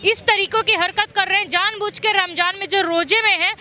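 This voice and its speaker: A woman's voice: very high-pitched, steady tone, brisk, pushed and bright, a little hiss or hum. A woman working as a street interviewer, putting probing questions and relaying opposing views.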